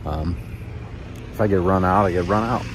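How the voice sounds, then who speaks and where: A man's voice: low-pitched, moderate pace. Middle-aged American man vlogging over background noise. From California, United States. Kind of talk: casual vlog narration to camera. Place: camper van and parking lots. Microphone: phone at arm's length. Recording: noisy handheld recording with vehicle and outdoor ambience.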